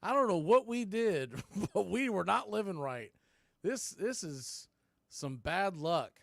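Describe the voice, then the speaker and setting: High-voiced man calling baseball play-by-play. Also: lively intonation, takes it slowly, vocal fry, dry recording, studio quality. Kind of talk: live sports radio play-by-play commentary.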